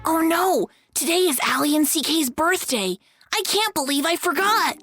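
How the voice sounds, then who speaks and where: A woman voicing an earnest, excitable young boy iguana in a children's storybook, exclaiming his lines emphatically. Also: high voice, moderate pace, soft background music. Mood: worried.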